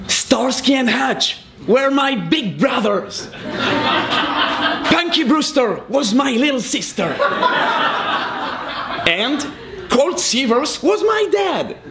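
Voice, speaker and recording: A man's voice: very high-pitched, very animated, extremely slow, long pauses. A man from Belgium, a native French speaker with a Belgian French accent, telling a self-mocking story to an audience. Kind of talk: storytelling performance. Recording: noisy live recording.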